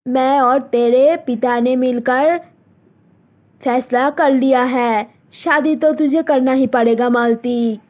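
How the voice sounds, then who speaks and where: A woman's voice: high-pitched, fairly even intonation, takes it slowly, darkish tone, dull audio. A woman voicing a middle-aged village mother, firm with her daughter and placating to guests.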